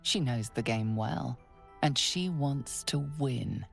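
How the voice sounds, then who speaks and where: A knowing game narrator, with a very low, gravelly voice. She reads the story slowly and deliberately over faint music. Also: animated, full character voice. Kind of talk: scripted narration.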